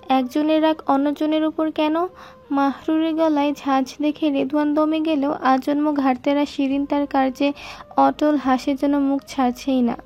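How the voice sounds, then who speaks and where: High, even-toned woman narrating a story. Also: lo-fi sound.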